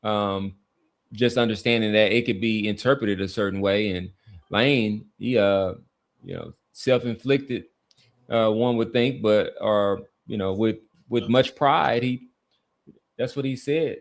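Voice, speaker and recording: A man's voice: on the low side, unhurried. A man hosting a casual sports talk podcast, speaking emphatically and often repeating his phrases for weight.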